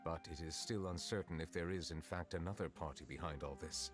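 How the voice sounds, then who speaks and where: An actor playing a calm, stern male chief justice who speaks in a measured, formal way. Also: low-pitched, natural voice. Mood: composed. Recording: game audio with music behind.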